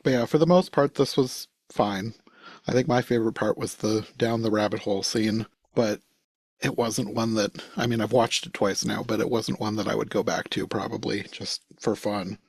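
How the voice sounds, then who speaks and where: A man with a somewhat low voice hosts a casual review conversation. He talks informally as a podcast host. The recording is very dry, with no room sound. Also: fairly even intonation, moderate pace, gravelly, a little mellow, slightly rough, no echo.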